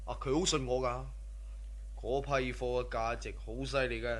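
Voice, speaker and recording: An actor playing a boastful, scheming, worldly drug-running cousin in a radio drama. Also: male voice, mid-range voice, flat delivery, moderate pace, lightly acted. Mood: boastful, confiding. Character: swaggering, scheming drug-running cousin, a carefree womanizing man. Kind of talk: Cantonese radio drama acting.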